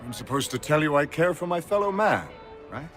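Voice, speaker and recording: An actor playing a cynical, mercenary colonial physician in a video game, arguing in a theatrical, emphatic, rhetorical way. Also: male voice, fairly high pitch, animated, a little fast, fairly bright tone, faint music behind. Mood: sly, frank. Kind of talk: video game cutscene acting.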